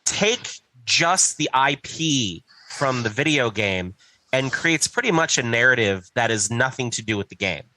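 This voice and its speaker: Male podcast host, bright forceful voice, mid pitch, dry sound, emphatic sweary casual chat. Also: animated, unhurried, no echo, poor audio.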